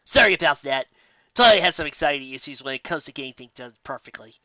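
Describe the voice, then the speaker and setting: A helpful little dragon sidekick, as a woman puts him on, matter-of-fact as he explains things away. The voice is bright and lively, set in a dry, slightly muffled recording. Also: low-pitched, fast talker, dry recording. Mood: apologetic.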